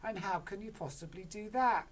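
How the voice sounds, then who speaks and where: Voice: mid-range voice, normal speed, pitched above the performer's own voice, natural voice. A storyteller voicing a worried, nagging mother who keeps doubting and scolding. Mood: fretful. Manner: fretful, scolding.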